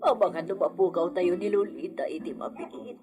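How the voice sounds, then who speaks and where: A woman playing a gentle, sorrowful older mother, sighing and plaintive, in an audio drama. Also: fairly low pitch, normal speed, natural voice. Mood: sorrowful, anxious. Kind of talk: Filipino audio drama acting. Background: music.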